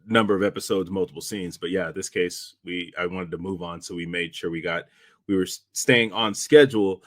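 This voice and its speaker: A man chatting casually about directing, speaking in a halting, rambling way and often repeating himself. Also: low voice, no echo, clean studio sound. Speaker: director and podcast host. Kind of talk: casual behind-the-scenes chat.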